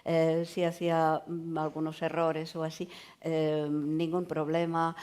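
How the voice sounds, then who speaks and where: A woman writer whose first language is not Spanish speaks on a literary panel. Her voice sits fairly low for a woman. She feels her way through each thought with pauses and filler sounds. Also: completely flat delivery, darkish tone, smooth. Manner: hesitant, many pauses and fillers. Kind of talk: conversational panel talk.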